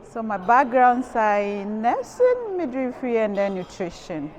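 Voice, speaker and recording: A woman with a Ghanaian accent giving measured, reflective answers in an interview. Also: fairly high pitch, big pitch swings, a little mellow, slight background noise. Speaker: nursing and public health professional.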